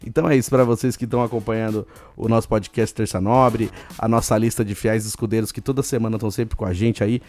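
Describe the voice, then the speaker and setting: A man with a Brazilian accent hosting a casual solo podcast, hoarse and chatty, giving listeners shout-outs. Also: on the low side, fairly even intonation, fast, few pauses. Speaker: podcast host.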